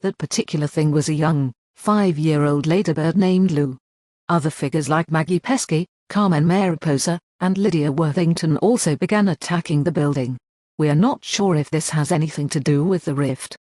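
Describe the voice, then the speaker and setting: An announcer reading a scripted emergency news bulletin in a formal, measured way. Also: mid-range voice, a little mellow, dry recording.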